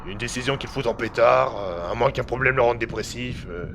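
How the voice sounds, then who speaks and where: An actor playing a blunt, slangy underworld agent who presses suspiciously for answers in an audio drama. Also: male voice, medium pitch, fairly quick, noisy background, natural voice. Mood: probing, wary.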